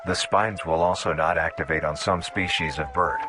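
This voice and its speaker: A man reading scripted nature documentary narration in a measured, formal, instructional manner. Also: low voice, fairly even intonation. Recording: voice over background music.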